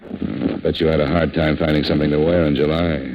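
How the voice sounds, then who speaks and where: An actor playing a tough, wry, wisecracking insurance investigator and narrator in a 1950s radio detective drama. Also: male voice, very deep voice, fairly even intonation, quick pace, gravelly, heavily acted. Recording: muffled old radio recording, loud music behind.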